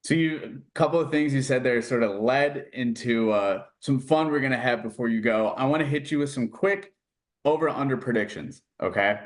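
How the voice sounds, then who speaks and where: A man's voice: mid-range voice, normal speed. A man hosting a sports interview, asking questions in a conversational style.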